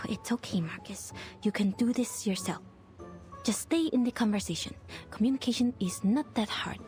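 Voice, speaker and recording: A woman's voice: mid-range voice, moderate pace, darkish tone, natural voice. A woman voicing an anxious, awkward young government agent in a video game, hesitant and nervous, with music behind. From Romania.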